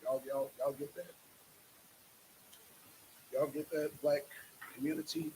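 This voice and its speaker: A man's voice: a little high, wildly swooping pitch, slow, creaky, warm tone, a little hiss or hum, low-quality recording. A man hosting a casual live stream, speaking in a repetitive, emphatic and exasperated way.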